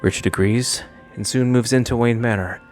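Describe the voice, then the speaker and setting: A man's voice: mid-range voice, steady tone, moderate pace, darkish tone, soft background music. A man reading scripted narration for a fan video essay.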